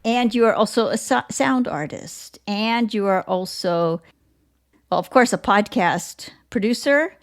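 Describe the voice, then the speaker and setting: Woman podcast host with slightly creaky voice. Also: medium pitch, expressive, a little slow. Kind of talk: scripted podcast introduction and interview.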